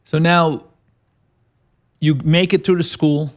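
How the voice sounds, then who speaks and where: A man's voice: on the high side, level tone, slow. A man hosting an interview, asking chatty, leading questions and often adding "right?". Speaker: podcast host. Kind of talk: conversational interview.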